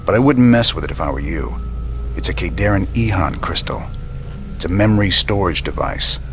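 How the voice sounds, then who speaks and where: A man playing a gruff, weary space rebel commander in a video game, with a dry, folksy manner. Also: deep voice, animated, fairly quick, slight background noise. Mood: wry, cautious. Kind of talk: video game cinematic acting.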